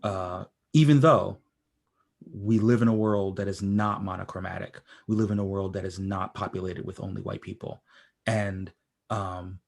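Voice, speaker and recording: A man hosting a conversational pop-culture podcast, speaking in a measured, deliberate way and often repeating phrases as he goes. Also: low-pitched, creaky. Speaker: podcast host.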